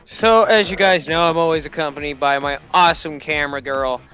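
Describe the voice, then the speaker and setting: A man hosts a reaction video in a bright, fairly high voice. He talks casually and excitably, breaking into exclamations. Faint music plays behind him. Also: fairly quick, rough recording. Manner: exclamatory, bursting into raised voice.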